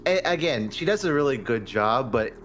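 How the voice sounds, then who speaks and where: A man's voice: mid-range voice, expressive, normal speed, a bit bright. Man chatting casually, music underneath. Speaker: podcast guest. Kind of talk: casual podcast discussion.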